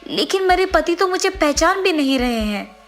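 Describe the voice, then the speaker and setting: High-pitched, earnest ghost wife voiced by a woman. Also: very animated, moderate pace, soft background music. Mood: sorrowful, resolved. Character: remorseful ghost of a greedy dead wife, anxious, pleading.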